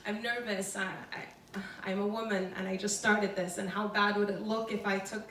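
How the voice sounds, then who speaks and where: A young woman giving a casual, earnest talk, chatty and quick to laugh. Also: mid-range voice, level tone, fairly bright tone, slight background noise. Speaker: food truck owner speaker. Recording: roomy, distant from the mic, faint background ambience.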